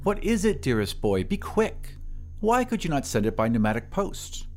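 An irritable, hurried mother in an audio drama, acted out by a man. The voice is raised and swings widely in pitch, exclaiming with impatience over music. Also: very animated. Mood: impatient. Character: irritable, impatient mother, swaddled and pale, devoted to machine.

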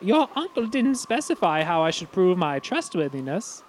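A woman's voice: on the low side, sing-song, moderate pace, a little hiss or hum. A woman voicing a polite, eager teenage student assistant in a comedic cartoon, speaking in a proper, deferential butler manner. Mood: deferential, careful.

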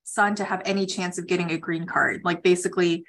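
A woman's voice: on the low side, fairly even intonation, fairly quick, a bit bright. Direct woman advising callers on a call. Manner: direct, explanatory. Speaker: immigration attorney hosting a call-in session. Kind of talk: conversational legal advice to callers. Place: conference call.

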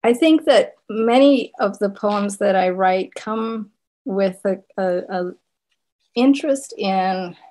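A woman poet from Zimbabwe whose first language was Shona, reading her poems and talking slowly and thoughtfully about them, with many pauses and ums. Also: medium pitch, a little mellow. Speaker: poet and professor giving a reading.

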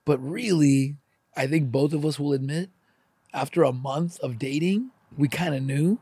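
A middle-aged man talks openly in a casual, confessional chat. His voice sits a little high for a man, and he is emphatic, with plenty of swearing and slangy address. The sound is dry and close. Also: moderate pace, mellow, husky, dry recording. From born in Ukraine, emigrated at age four. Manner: candid, emphatic, sweary, peppers talk with "man" and "dude".

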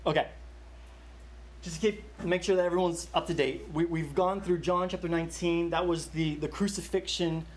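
A man's voice: a little high, steady tone, moderate pace, slight background noise. A young man leading a Bible study, telling a personal story in a casual, chatty way with many fillers and restarts.

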